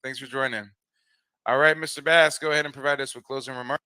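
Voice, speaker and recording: A man hosting a live stream panel, brisk and upbeat as he moves the guests along. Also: mid-range voice, steady tone, bright, forceful tone, clean tone, dry recording. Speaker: stream host.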